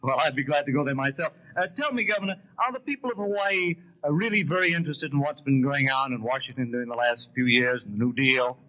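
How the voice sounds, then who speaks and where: A man's voice: medium pitch, fast talker, few pauses. An American man conducting live 1930s radio news interviews with formal, courteous questions. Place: convention hall broadcast room. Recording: tinny narrowband 1930s radio broadcast.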